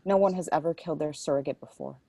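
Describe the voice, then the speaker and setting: Woman acting low-voiced, anguished young mother-to-be, bitter. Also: quick pace, creaky, dark tone, no echo. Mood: bitter, resolute. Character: troubled pregnant woman, bitter and defiant, pleading to be free. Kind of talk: dramatic script table read.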